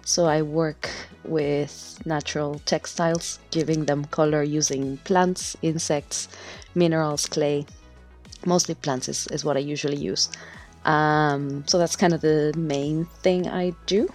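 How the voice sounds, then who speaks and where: Low-voiced woman. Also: fairly even intonation, unhurried, darkish tone, faint music behind. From Mexico. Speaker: podcast guest, natural textile dyer. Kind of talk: casual interview talk.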